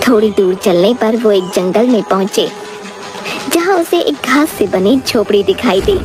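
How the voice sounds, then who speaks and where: A woman's voice: medium pitch, animated, a little slow, over music. A woman narrator reading a scripted children's story.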